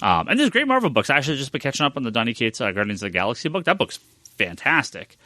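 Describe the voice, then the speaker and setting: A man's voice: medium pitch, sing-song, very fast, bright, forceful tone, no echo, studio quality. A middle-aged man chatting casually and giving his opinions as a podcast co-host. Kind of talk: casual panel chat about comic books.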